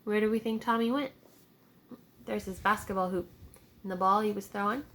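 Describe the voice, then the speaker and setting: A woman teacher reading a picture book aloud to young children, deliberate and child-directed, pausing to explain words and ask simple questions. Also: mid-range voice, steady tone.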